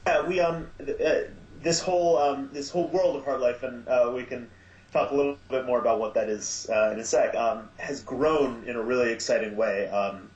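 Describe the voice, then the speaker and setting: A man's voice: medium pitch, darkish tone. Hesitant man guest on an echoey line. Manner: halting, many fillers and restarts. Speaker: guest, audio drama producer and director. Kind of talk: casual podcast interview. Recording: echoey, dull lo-fi sound.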